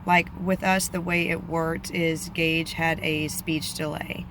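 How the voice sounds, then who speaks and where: A woman's voice: low-pitched, takes it slowly, noisy background. A woman giving casual parenting advice on camera, her voice slightly off from allergies, with many ums. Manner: chatty, many ums, flat delivery. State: voice a bit off from allergies. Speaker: vlogger, parent.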